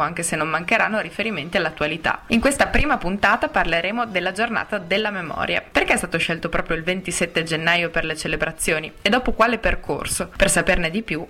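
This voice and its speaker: Bright, lowish-voiced woman presenter. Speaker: radio presenter introducing the show. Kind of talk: scripted radio introduction.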